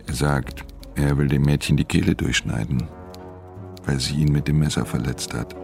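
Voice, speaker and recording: Very deep gravelly conspirator. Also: male voice, steady tone, normal speed, music in the background. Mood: grave, uneasy. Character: grim conspiratorial male police underling reporting to superior. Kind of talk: German radio drama acting.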